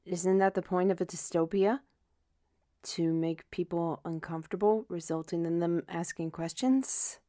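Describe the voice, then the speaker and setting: A woman's voice: lowish voice, moderate pace, warm tone, dry recording, studio quality, close to an everyday voice. A woman playing a troubled, self-reproaching young writer in an intimate audio drama, speaking in a hesitant, weary way. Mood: wry, defensive.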